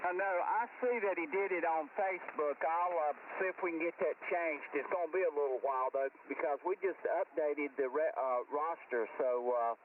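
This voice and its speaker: Higher-pitched man, casual radio operator chat, narrow tinny shortwave signal, regular member of the group. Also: normal speed, few pauses. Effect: shortwave radio transmission. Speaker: ham radio group regular, roster keeper. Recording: thin narrowband ham radio, distant, static. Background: radio static.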